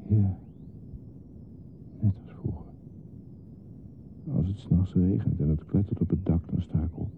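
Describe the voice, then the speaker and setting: An actor playing a lonely, disturbed man who longs to be a baby, in a hesitant, confiding, often near-whispered radio play monologue. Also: vocal fry. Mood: wistful, resigned. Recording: muffled lo-fi recording with hiss and rumble.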